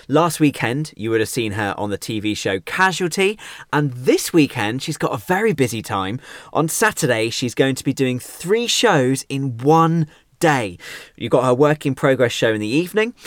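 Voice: medium pitch, no echo. Dry close-miked radio host. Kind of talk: radio presenting and interviewing.

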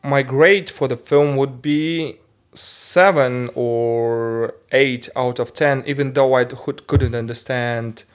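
Slow, hesitant man. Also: mid-range voice, smooth. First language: Russian. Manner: hesitant, searching for English words. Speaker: podcast co-host. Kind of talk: casual film discussion.

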